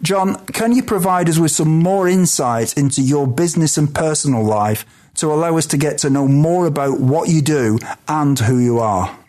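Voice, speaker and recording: A British man hosts a podcast, introducing his guest with brisk, upbeat presenter energy. His voice sits a little higher than most men's. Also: a little mellow. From United Kingdom. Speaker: podcast host.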